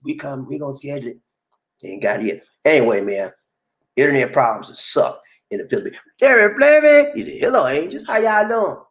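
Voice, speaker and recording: Animated playful host, drawl. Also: male voice, on the high side, fairly quick. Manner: Southern American vernacular, playful and teasing. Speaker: livestream host reading viewer comments. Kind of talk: casual chat.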